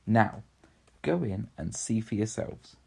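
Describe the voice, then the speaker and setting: A man voicing an earnest, excitable little girl who pleads to be believed in a storybook reading. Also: fairly low pitch, takes it slowly, creaky, breathy edge, exaggerated voice. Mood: excited.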